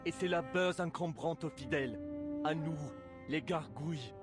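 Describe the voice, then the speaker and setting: An actor playing a solemn, grave gargoyle cousin in a video game, speaking formally. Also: male voice, a little high, normal speed, lightly acted. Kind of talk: scripted game voice acting. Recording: loud game music over voice. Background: game soundtrack.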